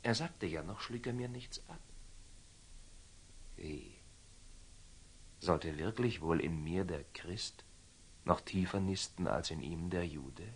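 An actor playing a proud, hot-headed knight in love, in an agitated, self-questioning monologue from a classical radio drama. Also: male voice, sits low, slow pace, pauses often, a little hiss or hum. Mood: brooding, self-doubting.

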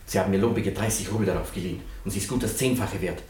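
An actor playing an indignant pawnshop customer in a German radio play, urgent and protesting. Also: male voice, low voice, rapid-fire, a little mellow, a little hiss or hum, close to an everyday voice. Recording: distant, echoey room.

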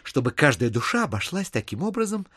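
An actor playing a smooth-talking, calculating gentleman in an audio play, unctuously polite and theatrically courteous. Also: fairly high pitch, very animated, brisk, dry recording, studio quality, exaggerated voice. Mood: ingratiating.

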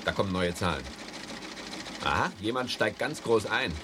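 A man's voice: lowish voice, lively intonation, moderate pace, noisy background, close to an everyday voice. A man playing a cocky, condescending speculator who lectures his junior in a German radio play. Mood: patronising. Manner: condescending, self-assured. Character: cocky, patronising male speculator mentor.

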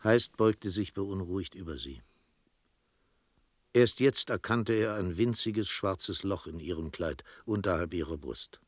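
A very low male voice, recorded dry and close to echo-free. He narrates a literary drama from a script, with a grave, measured delivery. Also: lively intonation.

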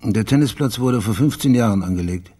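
A man's voice: lowish voice, lively intonation, brisk, mellow, slightly rough. A man playing a persistent investigator in a radio crime drama, asking polite, matter-of-fact questions. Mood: politely probing. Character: probing, persistent male investigator on a case.

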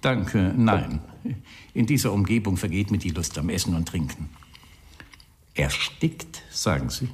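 A man's voice: sits low, animated, a little slow, slight background noise. A man playing a terse, easily irritated police inspector in a German radio crime drama, asking clipped, businesslike questions.

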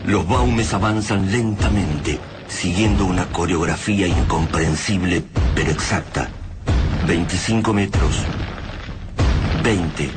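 A man with an Argentine accent narrating a radio drama in a grave, measured way. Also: low voice. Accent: Argentine Spanish. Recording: radio play with loud music behind.

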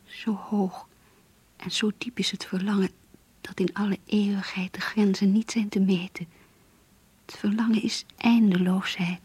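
A breathy, lovestruck young girl. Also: mid-range voice, a little mellow, no echo. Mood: yearning. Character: naive, lovestruck, pious adolescent schoolgirl confiding her longings. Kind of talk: radio drama, diary-like monologue and dialogue.

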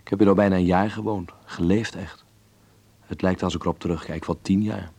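A man acting an earnest young man who voices his letter home in a radio play, measured and reflective. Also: low voice, steady tone, vocal fry, darkish tone, slightly rough, full character voice. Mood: pensive. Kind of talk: voiced letter narration in Dutch radio drama.

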